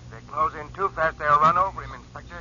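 A man's voice: a little high, quick pace, bright, forceful tone, a little hiss or hum. An actor playing an eager male police officer. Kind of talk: 1940s radio acting. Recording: dull old radio recording.

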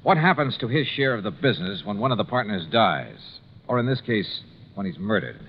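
Fast-talking hard-boiled insurance investigator. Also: male voice, on the low side, big pitch swings, slight background noise. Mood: probing. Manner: clipped, wry, no-nonsense. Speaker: lead actor in a radio drama. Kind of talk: 1950s radio detective acting and narration. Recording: dull 1950s radio recording, music.

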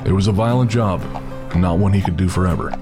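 Man, narrator, steady, measured, very low voice, music underneath. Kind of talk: scripted horror story narration.

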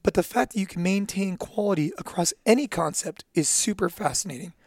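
A man hosting an interview aimed at gym owners, asking questions in a quick, eager way. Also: high-pitched, vocal fry, darkish tone, no room sound, studio quality. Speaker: podcast host for gym owners. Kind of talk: interview host.

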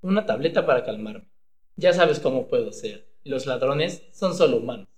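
A man's voice: medium pitch, expressive, moderate pace, a little mellow, reverberant, natural voice. A man playing a cowardly, boastful henpecked husband in a comedic radio play, hesitant one moment and blustering the next. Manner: hesitant stammering, then blustering.

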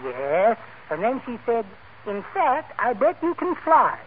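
A man's voice: extremely high pitch, wildly swooping pitch, measured pace, narrow, tinny audio, heavily acted. An actor playing a meek, timid, henpecked little man with a mild, mincing manner in 1940s radio comedy style. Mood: meek, plaintive. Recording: old thin radio recording, heavy noise.

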